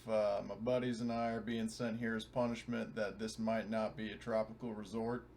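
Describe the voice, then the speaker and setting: A man's voice: on the low side, flat delivery, normal speed, darkish tone, slight background noise. A man bantering casually and sarcastically in character as a player in a tabletop roleplaying game.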